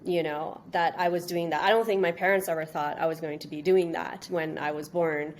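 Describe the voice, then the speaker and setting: A woman from Canada, born in India, giving informal, halting interview answers and circling back over her phrases. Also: deep voice, steady tone, a little hiss or hum. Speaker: interview guest, registry business executive.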